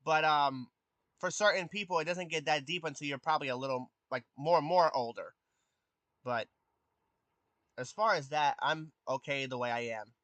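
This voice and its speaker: A man of twenty chatting casually with his live stream viewers. He has a youthful voice that has not deepened, and he hesitates often with ums and restarts. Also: moderate pace, a bit bright, dry recording. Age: 20s. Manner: hesitant, many ums and restarts, answers chat questions.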